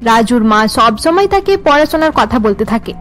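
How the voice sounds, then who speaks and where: A woman's voice: on the high side, animated, a little fast. Woman narrating over faint music. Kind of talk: scripted story narration for a cartoon.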